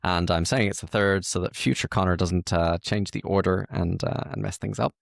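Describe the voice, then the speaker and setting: A man hosts a casual interview podcast in a lower-pitched, creaky voice. He talks informally, with a bantering touch. The sound is close and dry. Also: fairly even intonation, normal speed, no room sound. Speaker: podcast host.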